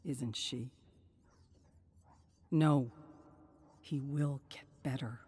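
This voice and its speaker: A narrator reading a scripted dramatic story in a grave, suspenseful, measured way. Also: mid-range voice, steady tone, darkish tone, breathy edge.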